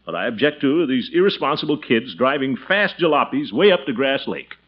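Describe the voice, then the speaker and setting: A man's voice: medium pitch, big pitch swings, a little fast, slightly rough. A man playing a pompous, fussy middle-aged uncle in 1940s radio comedy style, chuckling and theatrical. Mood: indignant. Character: blustery, fussy middle-aged uncle guarding his teenage niece. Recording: noisy, muffled old radio recording.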